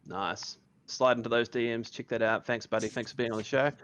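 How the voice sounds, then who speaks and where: A man's voice: mid-range voice, fairly even intonation, a little fast, fairly bright tone, no echo, lo-fi sound. A man hosting a casual podcast chat, upbeat and laughing often, calling his guests buddy. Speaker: podcast host.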